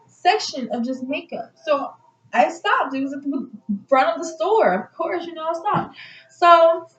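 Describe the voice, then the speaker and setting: A woman telling a personal story in a casual, rambling, conversational way, like a vlogger chatting to her viewers. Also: high voice. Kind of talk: casual storytime chat to camera. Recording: roomy echo, slightly dull lo-fi sound.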